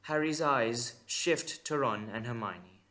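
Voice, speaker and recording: A man narrating a scripted audio drama in a measured, dramatic way with deliberate pauses. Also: medium pitch, sharp tone, soft background music.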